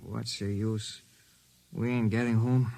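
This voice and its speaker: An actor playing a weary, despairing swamp laborer in old-time radio drama, speaking in broken phrases. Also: male voice, lowish voice, flat delivery, takes it slowly, vocal fry, dark tone. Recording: vintage radio recording.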